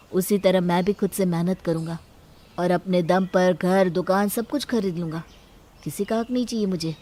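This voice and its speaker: A woman's voice: fairly low pitch, warm tone, close to an everyday voice. A woman voicing a devoted, self-reliant younger son in an earnest manner for a moral story.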